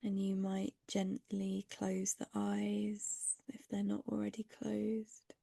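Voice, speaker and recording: A woman leading a guided mindfulness meditation in a gentle, unhurried, breathy voice with long pauses, some phrases fading to a near-whisper. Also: medium pitch, monotone, dry recording, clean studio sound.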